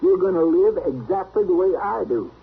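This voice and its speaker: A man's voice: high voice, sing-song, moderate pace, vocal fry, narrow, tinny audio, exaggerated voice. An actor playing an exasperated, bossy frontier lawman's helper with a folksy Southern drawl, in old radio western style. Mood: scolding. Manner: scolding, querulous. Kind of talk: 1950s radio western acting. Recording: band-limited, noisy old radio recording.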